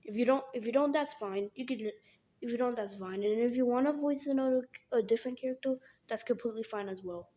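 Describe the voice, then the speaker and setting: A young woman who speaks haltingly, often repeating and restarting her words, as she talks casually to her viewers. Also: fairly high pitch, warm tone, highs cut off. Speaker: animation creator. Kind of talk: casual vlog appeal.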